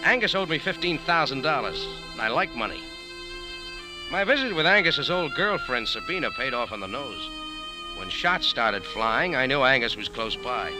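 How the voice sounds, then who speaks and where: An actor playing a cool, wry American café owner and sleuth, laconic and deadpan in 1940s radio drama style. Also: male voice, fairly high pitch, poor audio. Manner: laconic, hard-boiled, deadpan. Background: music.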